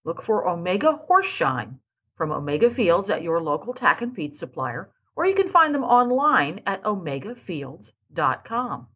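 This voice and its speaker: A woman reading a scripted commercial with a smooth, lilting delivery. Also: on the low side, very animated, normal speed, a bit bright, slight background noise. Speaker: ad announcer.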